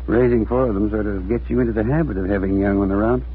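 A man's voice: on the low side, steady tone, rapid-fire, close to an everyday voice. An actor playing a good-natured middle-aged family father in a 1940s radio drama, homely and reassuring. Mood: fond. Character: good-natured, steady family father running a service station. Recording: tinny, noisy 1940s radio recording.

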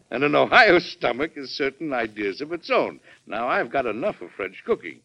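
An actor playing a plain-spoken, resolute American president in an old radio drama, folksy and deliberate, with an American accent. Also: male voice, mid-range voice, big pitch swings. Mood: amused, folksy. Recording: dull lo-fi vintage radio recording.

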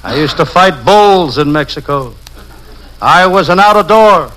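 Parody gumshoe, higher-set voice, dry comic narration, old radio comedy with heavy crackle. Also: male voice, unhurried. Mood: deadpan. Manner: deadpan gag-telling narration. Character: wisecracking hard-boiled parody private detective, adult man. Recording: noisy, crackling vintage radio recording.

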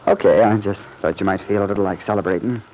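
Urbane, low-voiced bachelor of radio drama acted. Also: male voice, fairly even intonation, quick pace, mellow, slight background noise, lightly acted, rough recording. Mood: sociable. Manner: urbane, wry, wordy. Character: urbane bachelor man about town, wry, sociable friend. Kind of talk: old-time radio drama acting.

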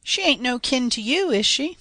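A woman's voice: high voice, expressive, moderate pace, bright, forceful tone. A woman voicing a hearty, kindly young farmhand boy in a story reading, folksy and sympathetic. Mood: curious.

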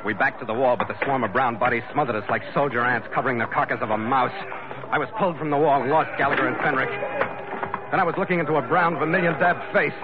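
A man's voice: mid-range voice, quick pace, loud music behind the voice, close to an everyday voice. An actor playing a tough male sea captain who narrates his own story in a blunt, clipped way. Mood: narration. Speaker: narrator and lead. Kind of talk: 1940s radio acting. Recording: dull old radio broadcast, loud hiss.

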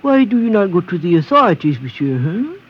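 A man's voice: high-pitched, big pitch swings, a little fast, breathy edge, heavily acted. A man playing a shifty, obsequious petty thief and forger in a radio drama, hesitant and oily in his politeness. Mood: wheedling, sly. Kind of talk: old-time radio drama acting. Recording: muffled, noisy vintage radio recording.

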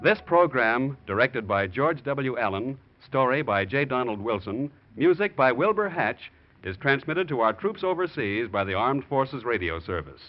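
A man's voice: mid-range voice, sing-song, normal speed. A man with an American accent announcing from a script in polished, emphatic 1940s radio style. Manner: emphatic, polished sales delivery. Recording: muffled 1940s radio recording.

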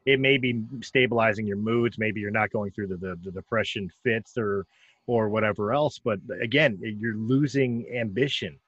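A man's voice: on the low side, fairly even intonation, moderate pace, a bit bright, husky, no room sound. A man hosting a podcast, talking casually with frequent stammering restarts. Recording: dull, dry, slightly lo-fi.

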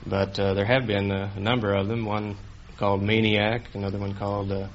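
A man's voice: on the low side, level tone, a little fast. A man answering interview questions as a contestant, hesitant and matter-of-fact. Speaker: quiz contestant, computer designer. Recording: dull old radio broadcast, faint noise.

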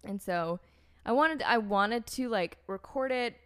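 Chatty American woman with a smooth voice. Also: fairly high pitch, expressive, a little slow, a bit bright. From United States. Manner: rambling, heavy use of "like" and "um", frequent restarts. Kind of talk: casual conversational storytelling.

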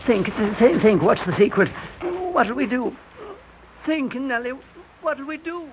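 An actor playing a frail, confused old elevator man, tender and halting, in an old-time radio drama. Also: very high-pitched, expressive. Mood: confused, anxious. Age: older. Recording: dull, hissy old radio recording.

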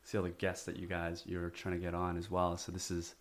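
Very deep-voiced laid-back podcast host. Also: male voice, completely flat delivery. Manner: friendly, laid-back, a bit halting. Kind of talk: casual interview.

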